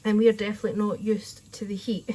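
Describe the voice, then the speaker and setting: A woman's voice: mid-range voice, flat delivery, fast talker, mellow. A Scottish woman chatting casually through a card-making tutorial at her craft desk, with a friendly, rambling manner. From Scotland. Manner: chatty, friendly, says "wee" often.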